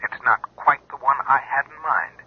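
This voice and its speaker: An actor playing an unflappable police sergeant in a 1970s radio drama, dry and understated. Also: male voice, mid-range voice, expressive, normal speed, breathy edge. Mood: wry. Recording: muffled old radio recording.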